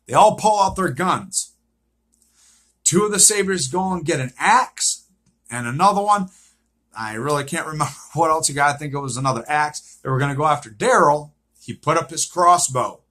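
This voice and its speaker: Forceful, husky-voiced man recapping a show. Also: on the high side. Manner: repetitive, checks in with frequent "okay?". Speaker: vlogger recapping a TV series. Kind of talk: unscripted episode recap, casual retelling.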